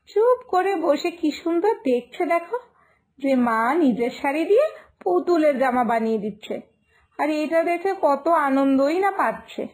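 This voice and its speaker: High-voiced, anguished village mother. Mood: tender, resigned. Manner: tender, lamenting, gently scolding. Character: poor, loving, anguished young village mother. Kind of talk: animated moral story acting. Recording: music behind the voice.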